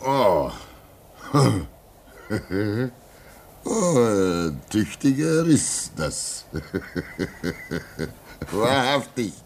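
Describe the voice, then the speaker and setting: An actor playing a lonely, pious radio operator at an Arctic station in a radio play, praying and chanting slowly. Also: male voice, fairly low pitch, big pitch swings, slight background noise, exaggerated voice. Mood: teasing. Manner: slow, prayerful, half-singing.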